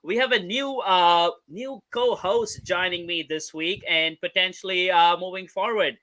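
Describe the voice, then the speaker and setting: A man hosting a casual sports podcast, upbeat, leading the chat with quick questions. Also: high voice, pushed and bright, rough recording. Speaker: podcast host.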